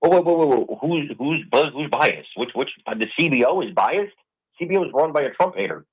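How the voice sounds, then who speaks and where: A man's voice: on the high side, lively intonation, brisk, slightly rough, rough recording. A man in his forties, a blunt, streetwise guest arguing in a heated political debate. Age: 40s.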